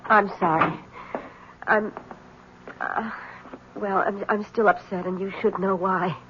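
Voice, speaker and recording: A woman plays an anxious, secretive wife who keeps pleading and warning. Her voice sits at a middle pitch. Dull, lo-fi old radio sound with faint hiss. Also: steady tone, slow, lightly acted. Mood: nervous. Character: frightened secretive young married woman. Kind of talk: 1970s radio drama acting. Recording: dull lo-fi 1970s radio broadcast.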